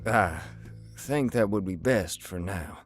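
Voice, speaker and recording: Man acting a slow, deep farm stallion. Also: expressive, no room sound, heavily acted. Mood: hesitant, grave. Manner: slow, halting, laconic. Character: big taciturn farm stallion, spooked and hesitant. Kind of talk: horror comic dub dialogue. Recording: prominent guitar music behind the voice.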